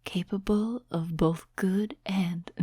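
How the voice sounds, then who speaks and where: A woman voicing an ancient, seductive demon in whispered ASMR roleplay, slow, teasing and condescending, with a breathy voice close to the microphone. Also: mid-range voice, fairly even intonation, mellow, dry recording. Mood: mocking, coaxing. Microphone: close, dry microphone.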